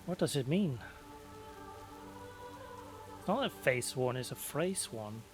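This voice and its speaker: A man's voice: medium pitch, expressive, takes it slowly, soft background music. A man giving casual gameplay commentary, musing aloud to himself as a let's player.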